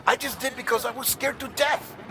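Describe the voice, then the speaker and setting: A man's voice: very high voice, fairly quick, creaky, background noise. An actor playing an anxious, lonely, eccentric man in a cinematic video game, wordy and hesitant, fretful one moment and tender the next. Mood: panicked.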